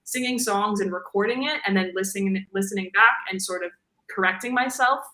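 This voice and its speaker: Young woman with a deep, bright voice. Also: brisk, echoey room, rough recording. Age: young adult. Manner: chatty, fills with "like" and "um", laughs easily. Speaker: guest singer. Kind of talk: casual interview chat.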